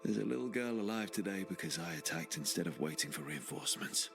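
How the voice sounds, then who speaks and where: An actor playing a reflective, earnest young male adventurer in a video game cutscene, musing aloud with quiet determination. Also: deep voice, expressive, fast, vocal fry, over music.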